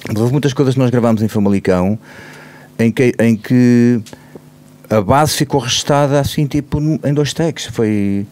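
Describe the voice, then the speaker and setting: A man with a European Portuguese accent, a musician chatting casually in an interview, haltingly repeating his phrases. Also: mid-range voice, darkish tone. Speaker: musician, band member being interviewed. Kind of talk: casual group conversation.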